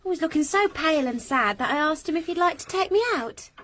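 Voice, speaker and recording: A cheeky young niece. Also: female voice, high-pitched, expressive, fast, a bit bright. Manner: breezy, cheeky. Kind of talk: radio drama acting.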